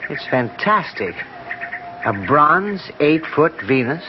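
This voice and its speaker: Earnest, higher-voiced scholarly gentleman. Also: sing-song, takes it slowly. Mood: awed. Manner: earnest, solemn, theatrical. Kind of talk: old-time radio drama acting. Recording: muffled, slightly noisy 1970s radio broadcast.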